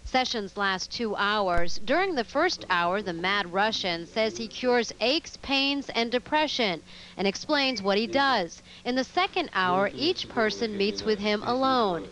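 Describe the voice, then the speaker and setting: Woman news reporter, mid-pitched, steady scripted voice-over. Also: a little hiss or hum, poor audio. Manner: measured, clear delivery.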